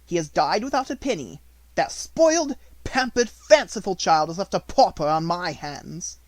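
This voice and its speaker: Reader doing a harsh school headmistress, sharp commands, dry. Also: mid-range voice, expressive, normal speed, dry recording, pitched above the performer's own voice, lightly acted. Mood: fierce. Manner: clipped, commanding, scornful. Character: stern, cold headmistress of a girls' school.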